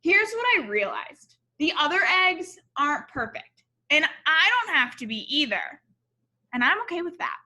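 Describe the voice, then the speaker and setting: High, bright-voiced woman reading a storybook. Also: moderate pace. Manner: expressive, explanatory, asks the listeners questions. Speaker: teacher reading a picture book aloud to children. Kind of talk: read-aloud storytelling with asides to young listeners.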